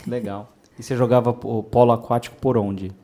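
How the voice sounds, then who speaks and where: A man hosting a podcast in a relaxed, slangy way, with many hesitant fillers. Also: mid-range voice, brisk. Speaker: podcast host. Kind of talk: casual interview chat.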